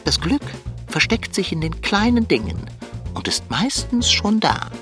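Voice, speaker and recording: A woman's voice: medium pitch, sing-song, unhurried, over music. A woman voicing a fussy, haughty male tomcat in a children's radio play, with prim, pompous wording. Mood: dreamy musing.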